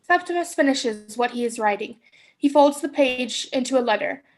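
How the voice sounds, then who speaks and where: A woman's voice: sits high, expressive, normal speed. A woman giving scripted narration, reading out the stage directions of a play.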